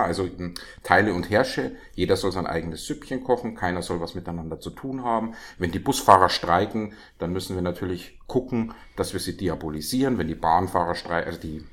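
A man from West Germany in a casual political chat, talking in an animated, ironic way. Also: low voice. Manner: animated, ironic, slips into voices. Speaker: discussion partner.

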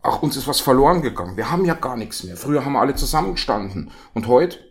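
A man's voice: medium pitch, very animated, fast talker, pitched above the performer's own voice. A man putting on the voice of a wistful East German man mourning lost community.

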